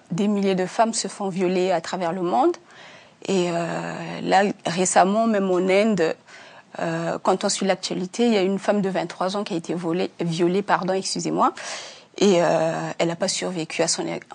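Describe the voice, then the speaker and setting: A woman's voice: fairly low pitch, vocal fry, darkish tone. Earnest, hesitant woman author. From Africa. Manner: earnest, many fillers and restarts. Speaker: author, interview guest. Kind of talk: hesitant conversational interview answers.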